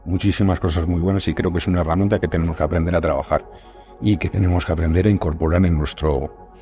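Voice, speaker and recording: A man's voice: low voice, steady tone, fast talker, warm tone, soft background music. A man with a Spain accent in casual conversation as a guest, explaining things and repeating phrases for emphasis. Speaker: podcast guest, scriptwriter and audio fiction creator.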